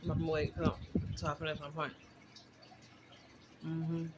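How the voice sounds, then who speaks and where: A woman's voice: low-pitched, completely flat delivery, measured pace. A woman in her twenties chatting casually and candidly to her viewers, rambling in a conversational vlog style. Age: 20s. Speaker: vlogger. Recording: very noisy recording with clicks.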